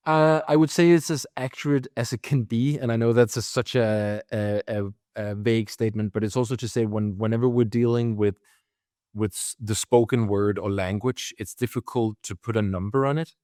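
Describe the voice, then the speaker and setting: A man's voice: fairly low pitch, steady tone, moderate pace, a little mellow, no echo. Man, Danish accent, guest interview, trips over words, dry close sound. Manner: hesitant, many restarts. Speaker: guest, company founder. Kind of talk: conversational interview.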